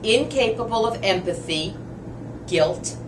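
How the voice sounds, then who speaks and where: A woman's voice: mid-range voice, animated, slow. A woman in her fifties co-hosting a casual chat, teasing her partner and laughing often. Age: 50s. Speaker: show co-host. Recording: roomy distant mic with background noise.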